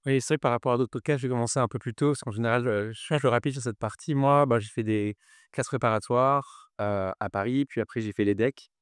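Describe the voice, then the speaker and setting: A man in his thirties from New Caledonia answering interview questions conversationally, often pausing on fillers and repeating words. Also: mid-range voice, clean tone, no room sound, studio quality. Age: 30s. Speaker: podcast guest, finance executive.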